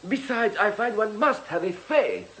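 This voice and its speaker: A man's voice: high-pitched, expressive, unhurried, fairly bright tone, a little hiss or hum, poor audio. An actor playing an ardent, courtly Frenchman with a French accent and a formal, emphatic way of speaking, in a radio drama. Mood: explaining. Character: ardent, courtly Frenchman of modest means, a bereaved admirer.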